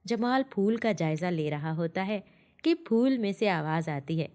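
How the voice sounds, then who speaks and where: A woman's voice: lowish voice, expressive, a little slow, darkish tone. A woman narrating a scripted children's story as a storyteller.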